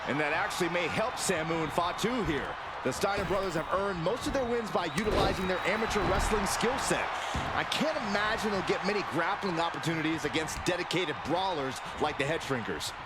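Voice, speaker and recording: A man playing an earnest wrestling analyst in a video game, explaining in a polished way, with loud noise behind. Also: sits high, fairly quick, lightly acted. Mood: informative. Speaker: commentator.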